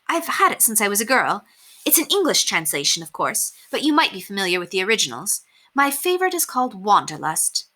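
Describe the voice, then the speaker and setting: A woman's voice: medium pitch, sing-song, a little fast, sharp tone, voice pushed up. A woman voicing a kind, earnest young governess with proper, polite phrasing in a storybook reading. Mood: warm, reluctant.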